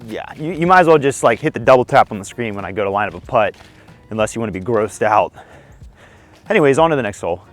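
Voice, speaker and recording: Young male vlog host, a touch hoarse, easygoing and self-deprecating, recorded outdoors with light background music. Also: fairly low pitch, expressive, quick pace. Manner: friendly, self-deprecating, explanatory. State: slightly hoarse. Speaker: disc golf video host. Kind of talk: casual tips vlog filmed on the course. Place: outdoors on a foggy disc golf course.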